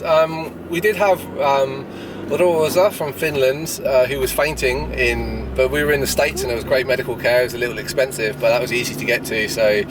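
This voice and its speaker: A man's voice: medium pitch, distant mic. A man, chatting casually as a travel vlogger answering questions. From Jersey, Channel Islands. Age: 30s. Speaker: travel vlog host. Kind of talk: casual question-and-answer chat while driving. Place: in a vehicle driving outdoors. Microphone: action or small camera in the vehicle. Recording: noisy moving vehicle, voice off the mic. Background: engine and road noise.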